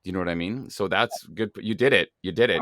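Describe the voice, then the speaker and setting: A man's voice: low-pitched, quick pace, a bit bright, no room sound. A Canadian man hosting a podcast, chatting with a guest and asking long, conversational questions. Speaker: podcast host. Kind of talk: casual interview.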